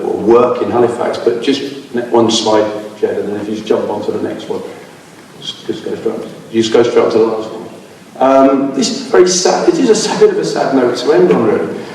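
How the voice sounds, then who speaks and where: A man's voice: fairly low pitch, darkish tone, a little hiss or hum. A man giving an informal slide lecture, rambling with many restarts and losing his voice. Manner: discursive, with many fillers and restarts. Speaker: speaker giving a public talk. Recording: echoey hall, from far off.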